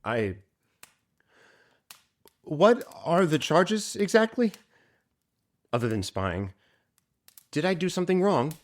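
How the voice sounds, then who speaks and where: A man's voice: fairly high pitch, sing-song, takes it slowly, long pauses. A man playing an earnest, innocent nineteen-year-old merchant sailor in an audio drama, polite and openly trusting. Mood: nervous, cooperative.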